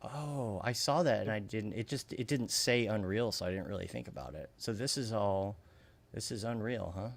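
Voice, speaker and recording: A man's voice: on the low side, normal speed, gravelly. A man co-hosting a podcast, chatting casually and thinking aloud as he talks. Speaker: podcast co-host. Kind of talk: casual chat.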